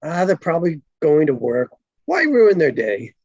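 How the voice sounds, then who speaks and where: Woman playing easygoing male cop, gravelly and husky. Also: low-pitched, huge pitch swings, normal speed, darkish tone, no echo, highs cut off, full character voice. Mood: teasing, boastful. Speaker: actor in an online script table read. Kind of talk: live comedy screenplay table read.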